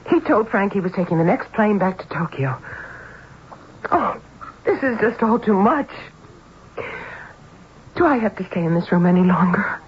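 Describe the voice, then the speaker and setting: An actress playing a fragile, anxious well-to-do wife in a vintage radio mystery, faltering and hesitant in a melodramatic style. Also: female voice, mid-range voice, animated, moderate pace, long pauses, lightly acted. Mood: anxious, faint. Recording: muffled, hissy old radio broadcast.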